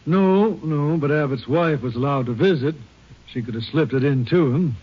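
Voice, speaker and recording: A laconic small-town sheriff with a husky, somewhat raised voice. The sound is a muffled, lo-fi old radio broadcast. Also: male voice, on the high side, normal speed. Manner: laconic, matter-of-fact. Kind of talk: 1950s radio drama acting. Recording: muffled vintage radio recording.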